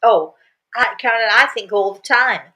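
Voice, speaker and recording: A woman's voice: a little high, normal speed, pushed and bright. Older woman, emphatic casual storyteller. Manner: emphatic, repeats words for emphasis. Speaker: spiritual medium telling stories and answering questions.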